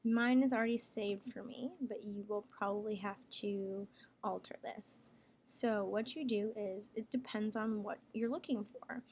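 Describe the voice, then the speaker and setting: A woman working as a software trainer, explaining each step plainly in a casual screen tutorial. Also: mid-range voice, fairly even intonation, unhurried. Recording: clear background noise, room ambience.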